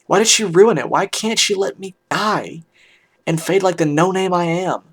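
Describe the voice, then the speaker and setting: A man voicing a heartbroken, weary pony musician in a hushed, halting, emotional first-person story reading. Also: medium pitch, fairly even intonation, vocal fry. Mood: anguished, bitter. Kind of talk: dramatic first-person fan fiction reading.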